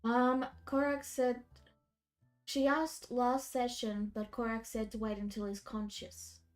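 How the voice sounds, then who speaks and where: A woman narrates a tabletop session in a loose, casual way, her voice a bit stuffy from a cold. She speaks in a somewhat high register. Soft music runs underneath. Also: slow pace. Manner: casual, relaxed narration with fillers. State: slightly congested from a cold. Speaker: game master.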